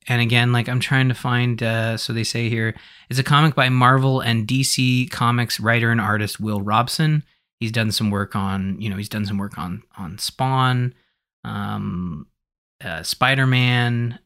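A man hosting a podcast in casual conversation, talking in an animated, rambling way as he thinks aloud. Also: medium pitch. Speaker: podcast host.

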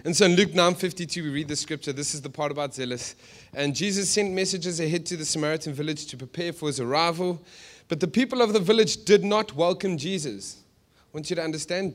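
A South African man preaching conversationally to a congregation, animated, with joking asides and rhetorical questions. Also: fairly high pitch. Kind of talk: sermon to a live congregation, conversational storytelling.

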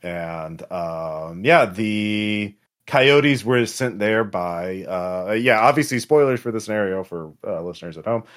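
A man who speaks as game master in a casual post-game explanation, haltingly, trailing into pauses and swearing now and then. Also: on the low side, fairly bright tone. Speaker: game master of a tabletop role-playing podcast.

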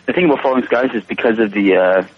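A seventeen-year-old Canadian man answering casual interview questions politely, pausing as he thinks through his phrasing. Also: fairly low pitch, phone-quality sound, a little hiss or hum. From Toronto, Canada. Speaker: interview guest, young actor.

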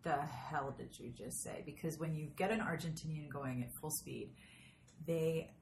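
An effusive woman chats as a podcast interviewer, her voice a little low. She talks casually and exclaims often, with room echo and some background noise around her. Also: normal speed, a little mellow.

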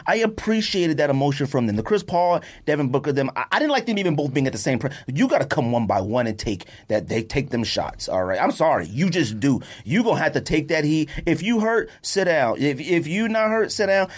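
An American man hosting a podcast, talking sports casually in an animated, emphatic, exasperated rant with a husky voice. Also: medium pitch, brisk. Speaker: sports and sneaker podcast host.

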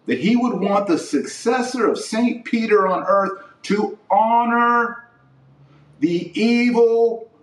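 A man hosting an opinionated religious podcast, recorded in an echoey room a little way off the mic. He speaks slowly and indignantly, drawing out key words with preachy emphasis. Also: high-pitched, recorded from a distance. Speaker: podcast host and religious commentator. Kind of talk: opinionated religious commentary to camera or podcast audience. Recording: roomy echo, voice off the mic.

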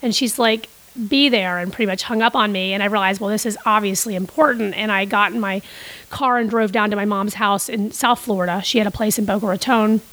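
A woman's voice: medium pitch, fairly even intonation, fairly quick, a bit bright, rough recording. A middle-aged woman who grew up in Costa Rica, telling long stories as a podcast guest with many restarts and fillers. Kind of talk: casual interview storytelling.

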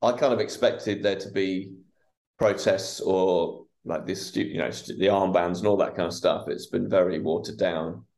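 A man's voice: sits low, steady tone, normal speed, darkish tone. A man hosting a podcast, asking rambling questions with restarts in a casual interview. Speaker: podcast host.

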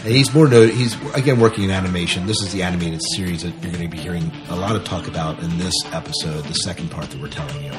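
A man's voice: low-pitched, quick pace, few pauses, over music. A man co-hosting a podcast in a casual, chatty style. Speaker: podcast co-host.

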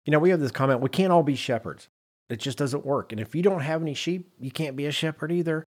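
A man's voice: fairly high pitch, quick pace, darkish tone. A man hosting a podcast in a conversational, emphatic, self-deprecating way, moving between chat and read-out notes. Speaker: podcast host.

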